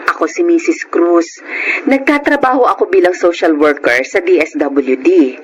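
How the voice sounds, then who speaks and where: A woman's voice: lowish voice, animated, moderate pace, close to an everyday voice. A woman playing a kind, take-charge social worker with a Filipino accent, reassuring and decisive, in a radio-style drama. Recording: noisy radio drama with background ambience.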